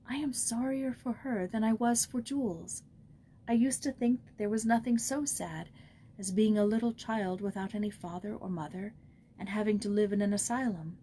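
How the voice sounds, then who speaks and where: A woman's voice: medium pitch, moderate pace, dark tone, slight background noise, lightly acted. A woman voicing an earnest, tender-hearted young girl in a storybook, speaking soberly and sincerely. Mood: sober, thoughtful. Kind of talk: storybook character voice.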